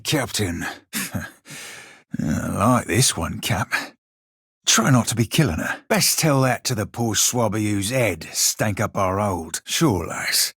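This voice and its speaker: Husky, salty pirate rogue. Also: male voice, fairly low pitch, a bit bright, no room sound, studio quality, exaggerated voice. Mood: dry, amused. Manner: archaic nautical slang, sardonic, bantering. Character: roguish blue fantasy pirate sailor, male, salty and irreverent. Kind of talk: video game voice lines, banter and fight barks.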